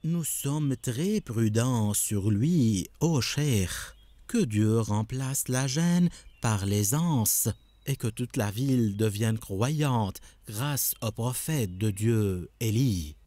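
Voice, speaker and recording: A man's voice: mid-range voice, lively intonation, very slow, dark tone, no room sound. A man voicing a devout, respectful listener in a cartoon, speaking politely and reverently. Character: devout, respectful listener eager for grandfather's stories. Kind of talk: cartoon dubbing.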